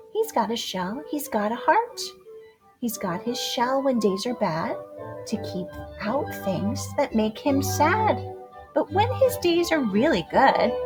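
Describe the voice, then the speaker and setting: Female storyteller for a children's picture book: lively, swooping delivery at a slow pace, playful emphasis, over music. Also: fairly high pitch. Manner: playful, expressive, drawn-out words and dramatic emphasis. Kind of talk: animated rhyming storybook read-aloud for young children. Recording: music behind the voice.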